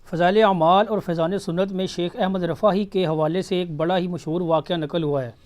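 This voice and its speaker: A man's voice: sits high, steady tone, fairly quick, a little mellow. A man reading out a prepared, formal question to a religious teacher.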